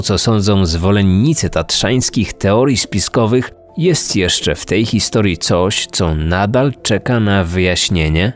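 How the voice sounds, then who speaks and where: A man hosting a true-crime podcast in scripted narration. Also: lowish voice. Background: music under the voice.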